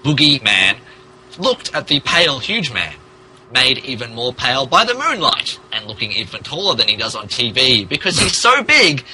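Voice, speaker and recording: A man's voice: mid-range voice, sing-song, moderate pace, bright, forceful tone, slight background noise, rough recording. A man narrating a fan fiction story as a mock-dramatic podcast reading, amused, theatrical and emphatic.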